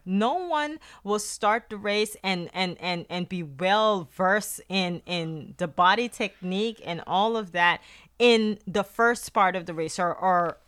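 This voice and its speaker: A woman's voice: medium pitch, a bit bright. A middle-aged woman from Guyana with a Guyanese accent, speaking earnestly in a faith-based podcast monologue. She often repeats words as she restarts a phrase. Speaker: podcast host.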